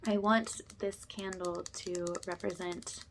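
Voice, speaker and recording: A woman speaking softly and gently as she leads an informal spiritual ASMR ritual, often slipping toward a whisper. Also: on the low side, fairly even intonation, slow pace, slight background noise. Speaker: host, spiritual energy healer leading a session.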